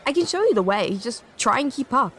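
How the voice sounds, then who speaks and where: A woman's voice: on the high side, big pitch swings, brisk, fairly bright tone, a little hiss or hum. A woman playing a prim, self-assured, bookish kid in a fantasy audio drama, speaking formally and precisely. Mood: brisk, prim. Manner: formal, precise, matter-of-fact.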